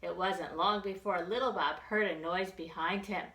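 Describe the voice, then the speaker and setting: A woman reading aloud as a children's library storyteller, expressive and animated, in simple child-directed language. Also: fairly low pitch, moderate pace. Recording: roomy echo with background noise.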